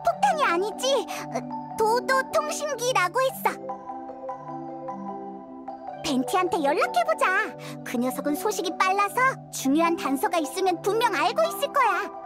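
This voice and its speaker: A woman voicing a tiny, childlike, greedy and bossy fairy companion in a video game, squeaky and pouty. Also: sing-song, normal speed. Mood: eager. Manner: exclaiming, pouty. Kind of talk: animated video game voice acting. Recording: music behind the voice.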